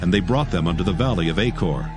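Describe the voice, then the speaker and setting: A man's voice: low voice, expressive, fast. A man narrating a dramatized Bible reading in a formal, solemn manner. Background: loud background music.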